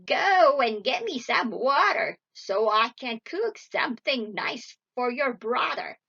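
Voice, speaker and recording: A woman's voice: on the high side, expressive, slow pace, vocal fry, sharp tone, breathy edge, muffled recording, heavily acted. A woman voicing a mean old storybook witch, cruel and impatient, barking commands or coaxing with false sweetness. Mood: harsh, menacing.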